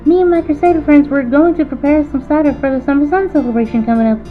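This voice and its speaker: A woman's voice: high-pitched, fast talker, narrow, tinny audio, faint music behind, natural voice. A woman voicing a young filly pony, a pouty, scheming little sister with a childlike wheedling manner, in an amateur audio drama. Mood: eager.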